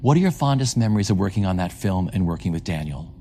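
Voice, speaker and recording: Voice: big pitch swings, fast, a little hiss or hum. An interviewer asking prepared questions about an actress's films and encouraging her answers. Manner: warm and encouraging, reads out career highlights.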